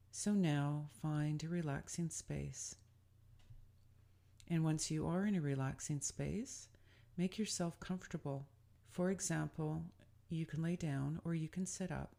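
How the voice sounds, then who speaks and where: Gravelly-edged woman guiding a meditation. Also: deep voice. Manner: soothing, deliberate, gently instructive. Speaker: spiritual guide leading a guided meditation. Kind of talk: guided meditation, scripted narration.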